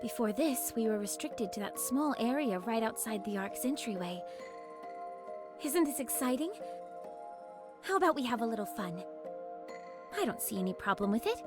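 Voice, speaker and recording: Actress as a high-voiced, spirited young squad leader. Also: female voice, lively intonation, moderate pace, pauses often, over music, close to an everyday voice. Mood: cheerful, curious. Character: spirited, determined female squad leader, eager and hopeful. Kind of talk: scripted anime game voice acting.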